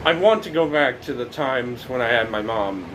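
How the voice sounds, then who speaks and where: A young man in his late teens talking casually about his life, rambling and halting, with many fillers and restarts. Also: mid-range voice, animated, background noise. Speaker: documentary subject. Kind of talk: casual reflective monologue.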